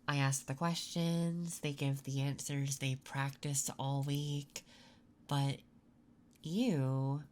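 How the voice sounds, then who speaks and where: A woman playing a curious, attentive interviewer in an unhurried, intimate, soft-spoken ASMR roleplay. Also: deep voice. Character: curious, attentive female interviewer in a late-night office. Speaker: ASMR performer.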